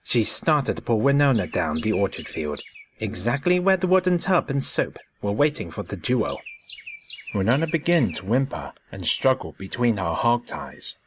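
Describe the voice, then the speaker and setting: A man's voice: on the low side, very animated, normal speed, slight background noise. A man narrating a scripted story in a storybook style.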